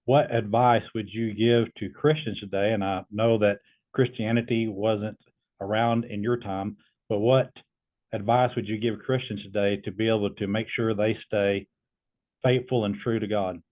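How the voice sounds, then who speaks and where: A man talks casually as a host, in a lowish voice with little melodic movement. His delivery is low-key and halting, and the sound is narrow and tinny, like a phone line. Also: steady tone. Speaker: video host testing an AI voice. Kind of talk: casual explanatory chat and questioning.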